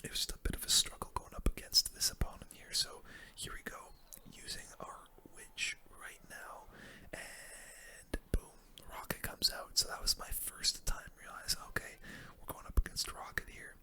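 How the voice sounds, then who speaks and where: A gaming host giving ASMR commentary in a soft, unvoiced, breathy whisper, close to the microphone. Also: normal speed. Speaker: video game streamer and host.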